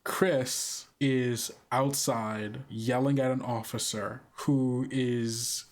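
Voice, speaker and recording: A man narrates a tabletop role-playing game as its game master. He speaks very slowly in a mid-pitched voice, casual and measured, leaving pauses between phrases. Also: fairly even intonation.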